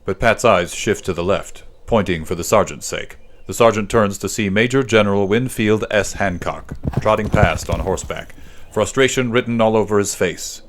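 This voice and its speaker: A man with a low-pitched voice narrates a war story. He reads in a scripted, dramatic storytelling style, as for a literary podcast. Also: normal speed, a little hiss or hum.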